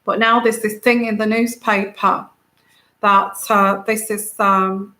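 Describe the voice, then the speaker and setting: A woman with a soft, soothing voice, hosting a casual tarot livestream, rambling with many fillers and greeting viewers by name. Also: medium pitch, little pitch movement, slow pace, echoey room. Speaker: tarot reader and channel host.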